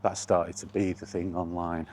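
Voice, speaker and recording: A man's voice: deep voice, flat delivery, normal speed, darkish tone, slight background noise. A British man chatting casually in a wry, colloquial way, like a solo vlogger rambling through his thoughts. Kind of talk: casual rambling chat about gaming.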